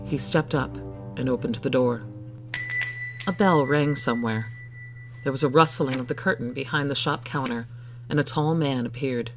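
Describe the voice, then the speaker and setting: A man narrating scripted fiction in a dramatic storytelling style. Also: mid-range voice.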